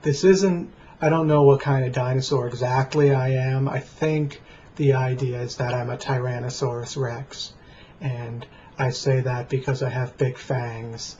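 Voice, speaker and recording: Man, American accent, flat deadpan delivery, mellow tone, casual rambling vlog, lo-fi noisy recording. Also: medium pitch. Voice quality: flat, plain voice. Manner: deadpan, dry. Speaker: vlogger. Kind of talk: casual rambling talk to camera. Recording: dull lo-fi webcam sound, faint noise.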